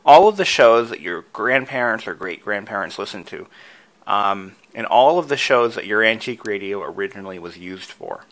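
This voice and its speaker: A man's voice: lowish voice, expressive, moderate pace, fairly bright tone. A man presenting a hobby tutorial, casually talking through each step with enthusiasm.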